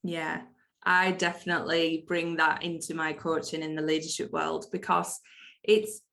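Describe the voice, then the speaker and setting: A woman's voice: sits low, flat delivery, normal speed, gravelly, a bit bright. A woman hosting a podcast interview, upbeat and eager, praising with words like incredible and brilliant. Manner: upbeat, eager, leans on superlatives. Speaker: podcast host. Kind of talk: casual interview chat.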